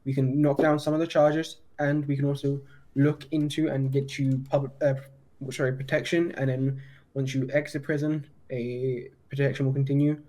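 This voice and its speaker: Man with a mellow, even-toned voice. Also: medium pitch, normal speed. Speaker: roleplayer playing a police detective. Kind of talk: improvised police interview roleplay.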